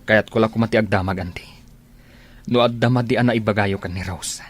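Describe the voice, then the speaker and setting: An actor playing a gentle, lovelorn man with a sincere, pleading delivery in a radio drama. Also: lowish voice, fairly even intonation, a little fast, no echo, natural voice. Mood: hurt, pleading. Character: earnest, lovelorn man Ferdinand, gentle and persistent.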